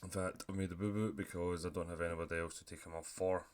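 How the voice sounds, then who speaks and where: A man with a sore throat, casually commentating as he plays a football management game and clearing his throat now and then. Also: low-pitched, fast talker. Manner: relaxed, chatty, clears throat often. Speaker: gaming YouTuber.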